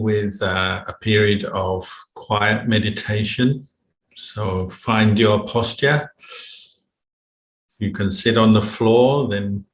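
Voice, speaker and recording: A man with a fairly low, flat-pitched voice leads a guided meditation. He speaks in a measured way with long gaps between phrases. The sound is echoey, as in a room, with faint noise behind him. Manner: measured, unhurried, with long pauses. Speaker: meditation teacher, Buddhist monk. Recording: roomy echo, faint background noise.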